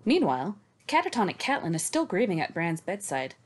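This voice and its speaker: Woman doing sarcastic book recaps, swings up and down a lot, kinda low voice, swears. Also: very animated, brisk. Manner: snarky, casual, sweary, emphatic. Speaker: podcast co-host giving recaps. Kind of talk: sarcastic, scripted-sounding book recap and discussion.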